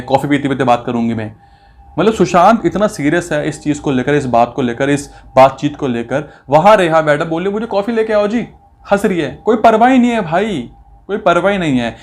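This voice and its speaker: A man's voice: fairly high pitch, fairly quick. A man giving opinionated commentary in an indignant, accusatory manner, asking rhetorical questions and mixing in English phrases. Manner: mixes English phrases into speech. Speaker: video commentator.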